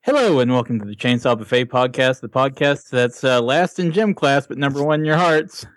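A man's voice: medium pitch, fairly quick, no echo. A young man hosting a casual podcast chat, laughing often as he talks. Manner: laughing, animated. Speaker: podcast host.

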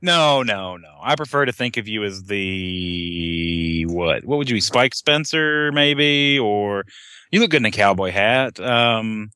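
A man's voice: fairly low pitch, lively intonation, slow, sharp tone, clear, resonant tone, dry recording, studio quality. A young man co-hosting a casual podcast chat, hesitant and full of ums. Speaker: podcast co-host.

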